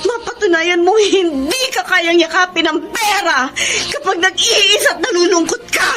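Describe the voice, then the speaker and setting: A woman's voice: very high-pitched, normal speed, pushed and bright, faint music behind. An actress with a Filipino accent playing a hurt, devoted young girlfriend in an emotional radio drama. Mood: tearful, anguished.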